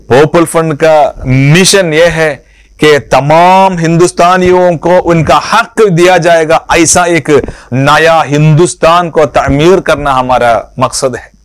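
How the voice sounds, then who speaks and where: A man delivers a political address in a fairly high voice, pressing each point home slowly and deliberately. He leans on rhetorical questions, and the sound is close and dry, with no room echo. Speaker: organization leader addressing supporters.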